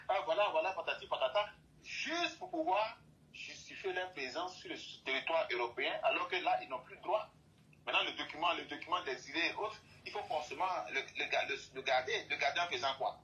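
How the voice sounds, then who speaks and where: A man speaks in a forceful, bright voice, pressing his points by circling back over the same phrases. The sound is dull and lo-fi, like a broadcast recording. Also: a little high. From Côte d'Ivoire. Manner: emphatic, repeats and restates phrases. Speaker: guest speaker. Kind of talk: impassioned opinion talk.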